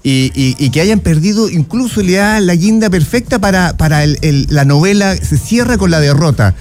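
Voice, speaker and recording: Male radio host, Chilean accent, slightly high voice, theatrical and playful banter. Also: lively intonation, moderate pace, a little mellow, soft background music. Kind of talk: casual banter on a radio talk show.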